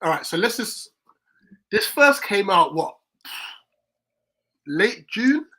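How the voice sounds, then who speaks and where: A man with a husky, slightly high voice, talking casually over a live football match. He chats loosely, reacts to each bit of play and reads out comments from viewers. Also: very animated, slow, bright, forceful tone. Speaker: co-host of a fan watchalong. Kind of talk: casual match watchalong chat.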